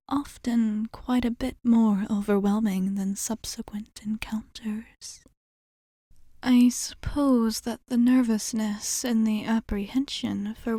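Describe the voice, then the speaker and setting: A woman playing a courtly, teasing vampire bartender in an ASMR roleplay, speaking in a soft, breathy half-whisper close to the microphone. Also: fairly high pitch, no room sound. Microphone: close microphone, dry.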